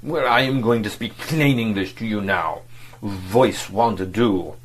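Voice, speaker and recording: A man's voice: on the low side, expressive, moderate pace, gravelly, a little hiss or hum. Man playing a sneering foreign official. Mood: menacing. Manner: contemptuous, clipped. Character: haughty foreign embassy official, sneering, menacing.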